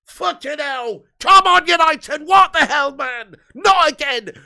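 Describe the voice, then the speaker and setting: A man with a hoarse, fading voice, giving sweary, excitable live fan commentary while reading chat. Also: extremely high pitch, slow. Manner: swearing, excitable, shouts at chances. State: losing his voice. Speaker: fan watch-along streamer.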